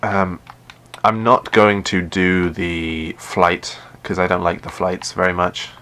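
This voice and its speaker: A man with a deep voice chatting casually while playing a game live, often stumbling and repeating words. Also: level tone, takes it slowly, a bit bright. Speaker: gaming live streamer.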